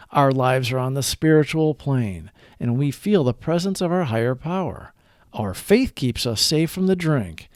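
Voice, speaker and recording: A man with a slightly high voice reads a scripted reflection aloud in very lively, varied intonation. His delivery is earnest and measured, each phrase set out deliberately. The sound is close and dry. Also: no room sound. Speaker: host of a daily recovery reading.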